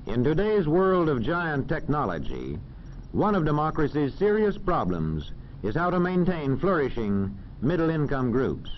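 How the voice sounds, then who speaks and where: Formal American male narrator for a 1940s classroom film, animated delivery, dull old soundtrack. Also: fairly high pitch, a little hiss or hum. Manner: formal, measured, didactic.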